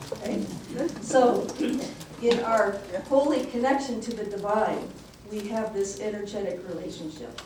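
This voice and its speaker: A soft-voiced woman in her sixties tells a personal story in an easy, conversational way, with the odd "um" along the way. She is picked up from a distance in a very echoey church hall. Steady background noise runs under her voice. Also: medium pitch. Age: 60s. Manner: conversational, personal anecdotes with filler "um". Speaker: nurse practitioner speaking as guest presenter. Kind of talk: casual church talk, storytelling.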